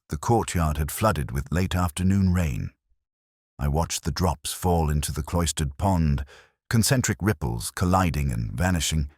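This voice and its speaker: A man reading scripted first-person narration of a detective mystery in a measured, brooding, literary manner. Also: extremely low pitch, slightly rough, no echo, clean studio sound. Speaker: audio drama narrator.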